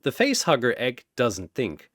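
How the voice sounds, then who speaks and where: A man narrating a scripted story in a dramatic, theatrical and deliberate manner. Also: mid-range voice, huge pitch swings, fairly bright tone, no echo, studio quality.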